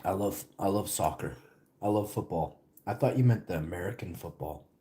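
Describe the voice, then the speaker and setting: Male streamer, deep mellow voice, rambling casual chat with viewers. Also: moderate pace. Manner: rambling, chatty, answers chat questions between bites. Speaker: live streamer.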